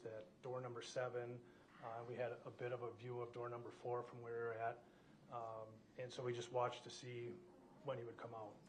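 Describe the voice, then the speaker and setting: An American man, a police detective, giving measured, hesitant and formal testimony as a witness in a courtroom. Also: mid-range voice, a little hiss or hum, low-quality recording.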